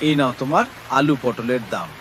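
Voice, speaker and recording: A man's voice: medium pitch, brisk, natural voice. A man voicing a village market figure in a cartoon story, with rain noise behind him. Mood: haggling. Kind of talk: animated cartoon story acting.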